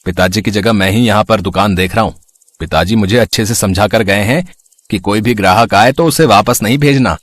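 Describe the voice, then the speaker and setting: A man voicing a foolish, overconfident young barber's son, boastful and earnest, in a Hindi animated story. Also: lowish voice, a little fast, close to an everyday voice. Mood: eager.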